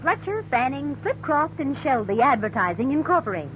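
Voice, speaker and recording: A woman's voice: sits high, sing-song, moderate pace. A woman playing a sweet, affectionate young wife in a 1950s radio drama. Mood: happy, coy. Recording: muffled, noisy 1950s radio recording.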